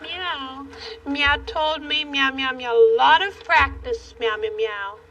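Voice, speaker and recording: A woman voicing a gentle female pussycat puppet in a children's show, soft and small, meowing between words. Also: sits high, takes it slowly, far from the mic, a little hiss or hum, exaggerated voice. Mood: sweet, friendly. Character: gentle female pussycat puppet who peppers speech with meows. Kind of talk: children's television puppet play.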